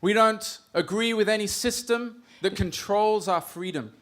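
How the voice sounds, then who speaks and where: A missionary from England preaching in short phrases, pausing after each for his interpreter. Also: sits high, fairly bright tone. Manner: short phrases paused for translation. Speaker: missionary speaker. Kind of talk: sermon through an interpreter. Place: church hall.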